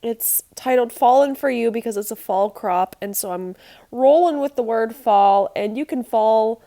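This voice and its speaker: A woman's voice: a little high, measured pace, warm tone. A young woman with a nasal, congested voice, chatting casually with many ums as she talks through a craft project. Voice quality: nasal from allergies. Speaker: scrapbooking channel host.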